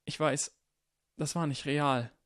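A man voicing a shy, sincere schoolboy in an audiobook, speaking in an earnest, halting, plainspoken way. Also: a little high, steady tone, a bit bright, dry recording, clean studio sound, pitched above the performer's own voice.

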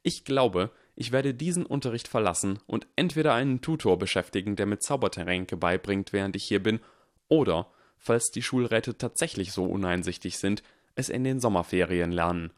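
A man voicing a defiant young schoolboy wizard who speaks with clipped, deadly calm. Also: on the low side, normal speed, no room sound, clean studio sound, close to an everyday voice.

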